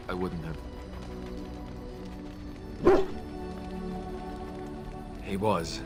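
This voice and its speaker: A young ex-templar warrior in a fantasy role-playing game, with a fairly low voice and a dry, self-deprecating wit. Game music plays clearly behind his lines. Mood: wry. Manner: wry, self-deprecating humor. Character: young male warrior, former templar trainee, wry and self-mocking. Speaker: companion character in a video game. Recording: game audio over background music. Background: game soundtrack music.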